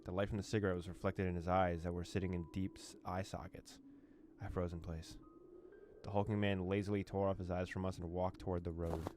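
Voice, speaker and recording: Low-voiced man reading a game aloud. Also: level tone, darkish tone, soft background music. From former USSR. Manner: reads the text aloud, breaks off with laughs and quick reactions. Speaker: let's play host. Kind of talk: reading a visual novel aloud with reactions.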